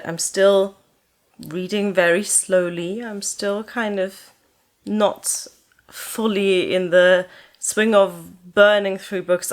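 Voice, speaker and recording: A young woman whose first language is German, chatting casually to camera about her books from a sofa in her living room, in a relaxed, rambling way with frequent ums. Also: lowish voice. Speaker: book vlogger.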